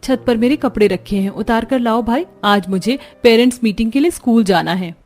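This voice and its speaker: A woman's voice: on the high side, fairly quick, a little mellow, close to an everyday voice. A woman voicing a pampered young woman of a rich household in a cartoon story, whiny and demanding. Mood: bossy, impatient. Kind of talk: Hindi cartoon story voice acting.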